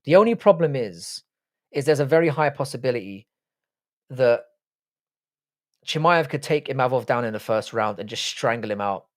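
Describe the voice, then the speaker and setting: A man's voice: medium pitch, expressive, normal speed, long pauses. A man in his forties with a South London accent, hosting a casual live stream, rambling, swearing freely and answering viewers' chat. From South London, England. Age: 40s.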